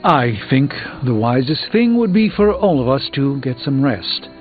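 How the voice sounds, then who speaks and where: An actor playing an earnest, formal superhero sorcerer burdened by regret in a video game, speaking in an articulate, measured way. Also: male voice, medium pitch, sing-song, phone-quality sound. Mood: conceding. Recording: band-limited game audio with background music.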